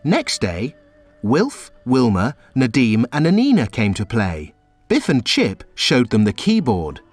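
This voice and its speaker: A man reading a children's storybook aloud in a slow, very expressive storytelling way. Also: mid-range voice, faint music behind. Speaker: storybook reader. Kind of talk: children's read-aloud narration.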